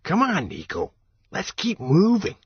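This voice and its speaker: A man voicing a steady, chiding companion who firmly urges on a frightened friend in an audiobook. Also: high voice, a little slow, husky, dry recording, lo-fi sound, pitched above the performer's own voice, exaggerated voice. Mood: urging.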